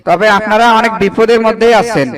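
A man's voice: high voice, a little fast, distant mic, a crowd in the background, lightly acted. A man playing a loyal young household helper in a cartoon story, with an earnest, deferential way of speaking. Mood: concerned. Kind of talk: Bengali cartoon story acting.

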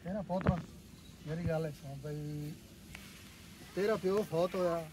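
A man's voice: a little high, steady tone, measured pace, close to an everyday voice. A man in his sixties with a rural Pakistani Punjabi accent playing a proud village father who is firm on his word. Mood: reassuring. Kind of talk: village comedy drama acting. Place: outdoors on a cot in village yard. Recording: noisy outdoor village yard, animals, vehicles.

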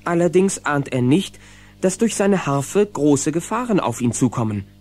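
Mellow, lively children's storyteller. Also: male voice, mid-range voice. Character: genial male storyteller narrating a children's adventure. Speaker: narrator. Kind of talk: scripted audio-drama narration for children.

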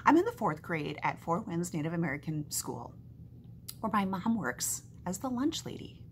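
A middle-aged woman reading a first-person story aloud in an animated, conversational storytelling manner, recorded indoors on a webcam. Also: on the low side, moderate pace. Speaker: author reading from own book. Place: small home room, indoors. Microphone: webcam at desk distance. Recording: faint background noise on webcam.

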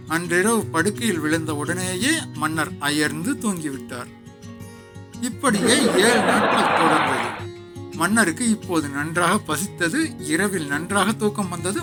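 A male storyteller reads a scripted moral tale. His voice is high and very animated in pitch, and he takes his time, with music behind him.

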